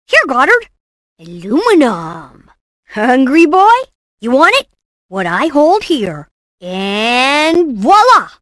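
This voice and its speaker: A brainy, excitable boy inventor from a cartoon game. The voice is bright and forceful, leaping around in pitch, yet takes its time over each theatrical, cocky exclamation. The sound is close and dry. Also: dry recording, exaggerated voice. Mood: smug, playful. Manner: theatrical, self-assured, punchy delivery. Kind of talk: animated game voice clips, short exclamations and catchphrases.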